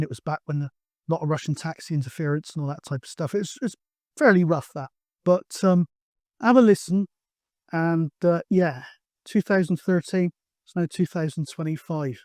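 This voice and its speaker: Higher-pitched man, chatting casually. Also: dark tone, no room sound, clean studio sound. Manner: chatty, anecdotal, colloquial. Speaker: video host, radio hobbyist. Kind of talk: casual rambling vlog introduction.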